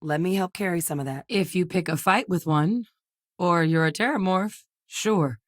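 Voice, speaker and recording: A woman playing a seasoned ex-military scientist in a video game, steady and earnest, with a measured, serious delivery. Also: lowish voice, no room sound, clean studio sound, close to an everyday voice. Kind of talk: video game character voice lines.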